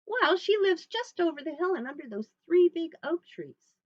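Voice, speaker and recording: A woman's voice: extremely high pitch, very animated, normal speed, no echo, muffled recording, voice pushed up. A woman voicing a sweet, trusting little girl from a storybook in an earnest, innocent, sing-song way. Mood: cheerful, trusting.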